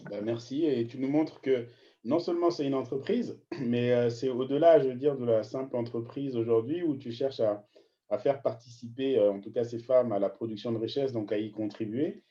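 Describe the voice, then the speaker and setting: A man hosts an online interview with a mellow, warm voice. He asks long questions full of hesitations and fillers. His audio is slightly dull and muffled, like a lo-fi connection. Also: medium pitch, normal speed. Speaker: host.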